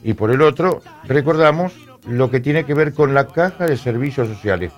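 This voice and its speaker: A man's voice: mid-range voice, expressive, moderate pace, highs cut off, faint music behind. A man hosting a radio news segment in a studio, paraphrasing statements and leaning on fillers.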